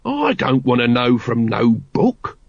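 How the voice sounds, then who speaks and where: A man puts on a shifty veteran watchman for an audiobook. He mutters and grumbles in rough, uneducated slang, forever wary of trouble. The recording is a little muffled. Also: medium pitch, animated, unhurried, no echo. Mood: irritated. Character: seedy, cowardly, grumbling low-class veteran watchman. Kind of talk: audiobook character voice.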